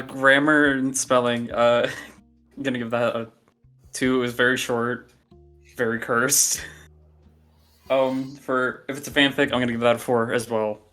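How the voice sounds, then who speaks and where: Guy judging on a stream, kinda giggly and hesitant, medium pitch, faint music under him. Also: bright, forceful tone. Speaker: guest judge on a fanfiction contest stream. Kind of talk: casual group livestream chat.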